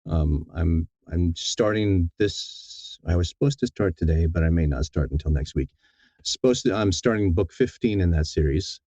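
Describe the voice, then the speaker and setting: Deep, mellow man chatting in interview. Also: moderate pace, slightly rough, no room sound, lo-fi sound. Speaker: audiobook narrator being interviewed. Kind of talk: casual interview chat.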